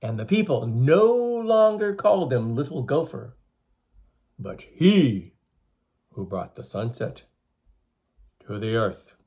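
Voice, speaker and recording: A man reading a story aloud to schoolchildren in an expressive, teacherly way, with emphatic phrasing. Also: medium pitch, slow pace, long pauses, breathy edge. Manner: teacherly, emphatic, addresses listeners with questions.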